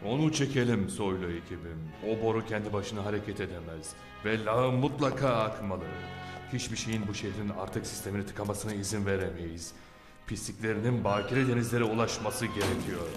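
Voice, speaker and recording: Man as a solemn, low-voiced crew leader. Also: brisk, over music, natural voice. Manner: solemn, formal. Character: grave, noble, self-important sewer crew leader, man. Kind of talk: dubbed cartoon acting.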